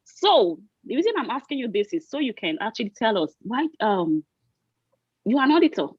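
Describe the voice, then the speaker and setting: A woman hosts a livestream interview, praising her guest warmly before laying out each question at length. Her voice sits at a middle pitch. The sound is dry and a little dull, with no room around it. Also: very animated, brisk, breathy edge, no echo. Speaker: host interviewer.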